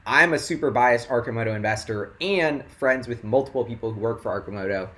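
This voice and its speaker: Young guy rambling on a livestream, hyped, says like a ton, voice getting hoarse, middle pitch. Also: brisk. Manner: rambling and excitable, heavy "like" filler. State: voice going hoarse. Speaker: investing livestream host. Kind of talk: casual livestream chat.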